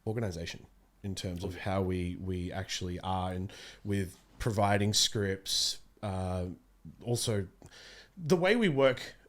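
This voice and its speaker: A man's voice: low voice, measured pace. A man in his thirties chatting casually as an interview guest, rambling and interrupting himself. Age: 30s. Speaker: voice actor being interviewed. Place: small room studio, indoors. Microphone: close podcast microphone.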